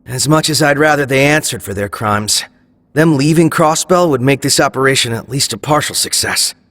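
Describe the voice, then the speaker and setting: An actor playing a resolute young police team leader in a video game, speaking in a formal, measured, firm manner. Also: male voice, medium pitch, a bit bright, no echo, close to an everyday voice. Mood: focused. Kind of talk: anime-style video game voice acting.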